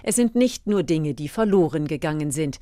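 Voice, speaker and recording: A woman's voice: deep voice, lively intonation, moderate pace, mellow, dry recording, studio quality. A woman reading scripted documentary narration as the reporter of a radio feature.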